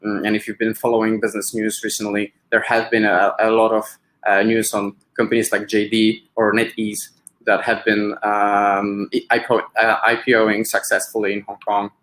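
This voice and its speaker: A man from Poland with a Polish accent gives a webinar presentation as a business advisor, in a halting way with frequent filled pauses. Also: on the low side, completely flat delivery, a bit bright, rough recording.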